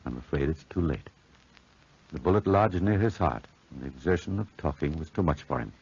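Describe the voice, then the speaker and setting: An actor playing a grave, fatherly older doctor, calm and measured, in 1940s radio drama. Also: extremely low pitch, breathy edge, heavily acted. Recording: dull 1940s radio recording.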